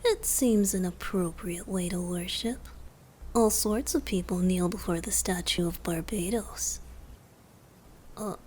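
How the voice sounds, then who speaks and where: A woman voicing a playful, tender young male bard god in a romantic ASMR roleplay, soft and breathy, often whispering and gently teasing. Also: on the low side, measured pace, slight background noise, natural voice, low-quality recording. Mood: devoted, teasing.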